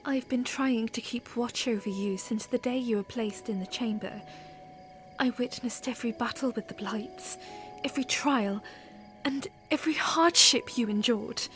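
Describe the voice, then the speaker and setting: Woman acting a high-voiced, gentle young fantasy princess. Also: close to an everyday voice. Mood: tender, earnest. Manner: earnest, tender, slow. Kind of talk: fantasy audio drama acting. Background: music.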